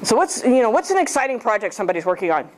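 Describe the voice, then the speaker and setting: A woman's voice: on the high side, big pitch swings, racing, a little hiss or hum, poor audio. Chatty woman in her fifties on a handheld mic. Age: 50s. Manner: chatty, jokey, asks the audience questions. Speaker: guest speaker. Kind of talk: casual talk to a club audience. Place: small lecture room.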